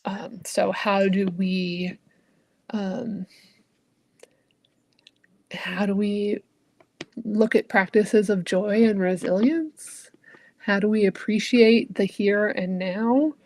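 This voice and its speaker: A woman minister speaking in a thoughtful, searching way, often trailing off softly at the ends of phrases. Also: medium pitch, takes it slowly, pauses often. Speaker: Unitarian Universalist minister. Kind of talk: reflective conversational talk.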